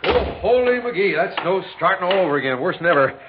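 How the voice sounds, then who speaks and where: An actor playing a middle-aged small-town sheriff in a 1960s radio drama, blustery and folksy, with a rural American accent. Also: male voice, high-pitched, expressive, fairly quick, noisy background. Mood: gruffly complaining. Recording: dull 1960s radio recording.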